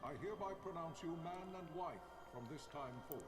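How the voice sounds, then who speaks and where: An actor playing a solemn officiant at a royal wedding in a video game, speaking formally and ceremonially. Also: male voice, on the high side, fairly quick, lightly acted. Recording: quiet game audio under loud music.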